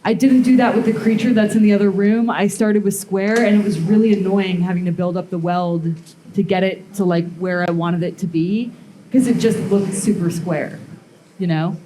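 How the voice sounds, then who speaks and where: A woman demonstrates metalwork in a casual, informal way, with a medium-pitched voice. She explains each step haltingly, thinking out loud as she works. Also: fairly even intonation, a little hiss or hum, poor audio. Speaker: metalworking artist giving a demonstration. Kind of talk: casual live workshop demo, explaining steps. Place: live blacksmithing demonstration before onlookers.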